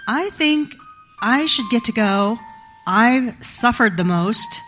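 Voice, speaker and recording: A woman's voice: a little high, lively intonation, takes it slowly, fairly bright tone, soft background music. A woman playing the self-righteous wife of a killer, defensive and scornful, in a live horror drama. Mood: indignant. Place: theatre stage, live performance.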